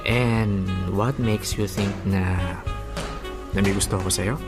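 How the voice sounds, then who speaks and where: An actor playing a laid-back, evasive boyfriend in a Filipino radio drama, hesitant and casual, with a Filipino accent. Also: male voice, on the low side, steady tone, slow. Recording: prominent music behind the voice.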